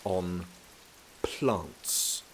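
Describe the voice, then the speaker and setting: A man's voice: low-pitched, drawn-out words, a little hiss or hum. A man playing a stern, protective prince in an audio drama, speaking in a formal, clipped, commanding way. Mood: reticent.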